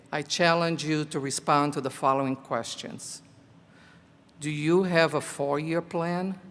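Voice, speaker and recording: An older man whose first language is Portuguese, a teacher near the end of his career, giving a slow, earnest commencement speech. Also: fairly high pitch, a bit bright. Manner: slow, deliberate, earnest delivery. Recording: echoey hall.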